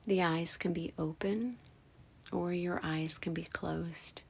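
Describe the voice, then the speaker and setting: A woman leading a guided meditation, speaking softly and soothingly in short phrases with long pauses and breathy, whispery endings. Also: fairly low pitch, steady tone. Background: background music.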